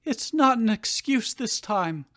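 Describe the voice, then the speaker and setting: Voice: mid-range voice, animated, takes it slowly, a bit bright, voice pushed up. A performer voicing a regretful middle-aged mother, pleading and apologetic, in a fan fiction reading. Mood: defensive.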